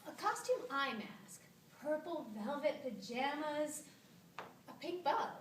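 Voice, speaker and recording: A woman stage performer reciting scripted lines live in short, deliberate phrases. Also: high voice, big pitch swings, a little hiss or hum, full character voice. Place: stage in a hall. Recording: roomy, distant live theatre recording.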